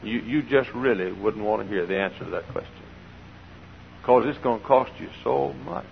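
An older man preaching a sermon from the pulpit with a froggy, gravelly voice, shifting between hollered exhortation and quiet storytelling and repeating phrases for emphasis. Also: on the low side, moderate pace, a little hiss or hum. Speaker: pastor. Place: large church sanctuary. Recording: dull old recording in a reverberant church.

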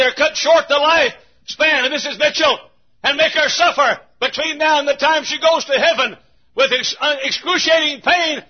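An American man preaching a fervent revival sermon in a raspy, straining voice, impassioned and often shouting in a repetitive cadence. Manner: impassioned, shouting, repetitive cadence, dramatic swings from low to loud. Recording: dull, muffled lo-fi sermon recording.

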